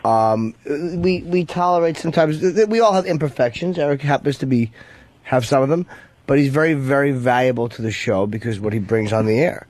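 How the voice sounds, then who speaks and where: A man's voice: mid-range voice, brisk, darkish tone, dry recording. A man co-hosting a radio show in casual, profane, bantering conversation.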